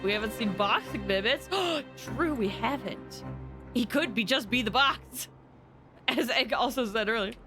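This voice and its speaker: Female game streamer, fairly high voice, casual chatty commentary with music clearly underneath. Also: animated, a little slow. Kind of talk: casual livestream chat and commentary. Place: indoors, livestream set-up.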